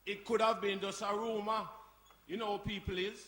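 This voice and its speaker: A man's voice: high-pitched, little pitch movement, normal speed, a bit bright. An actor playing a suspicious, needling young man in an audio drama, colloquial and pointed, in an echoey, noisy hall. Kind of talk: audio drama performed before a live audience. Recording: echoey hall, background noise.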